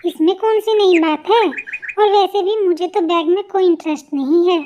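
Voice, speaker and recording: A lazy, snappy older sister bird in a cartoon tale, played by a woman. Her girlish voice is very high and dismissive, over faint noise. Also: normal speed, mellow, muffled recording. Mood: annoyed. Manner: irritable, dismissive.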